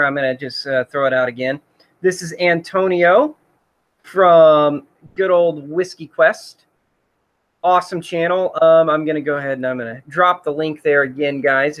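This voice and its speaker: A man's voice: fairly high pitch, fairly bright tone. A man hosting a casual livestream, rambling with many fillers while he reads out chat comments. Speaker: host.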